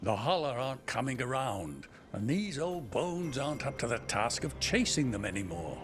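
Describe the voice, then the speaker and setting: A cranky old elf played by a man in a fantasy game, wry and teasing. His speech moves up and down with lively swings, and music plays underneath. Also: medium pitch, moderate pace, close to an everyday voice. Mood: grave, wary. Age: older. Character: cranky old male elf, teasing mentor and herd keeper. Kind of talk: video game dialogue acting.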